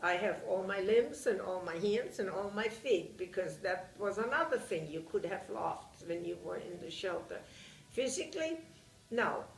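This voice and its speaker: An older woman from Germany with a Bavarian German accent, recounting her memories in an interview, often pausing to search for words. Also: mid-range voice, animated, vocal fry, reverberant, far from the mic, low-quality recording. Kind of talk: oral history interview.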